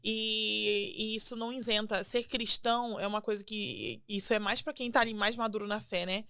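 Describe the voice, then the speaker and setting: A young adult woman with a Brazilian Portuguese accent, a singer guesting on a podcast, telling her faith story in an earnest, animated way and acting out quotes. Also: medium pitch, normal speed, clear, resonant tone, no echo. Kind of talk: casual testimony chat.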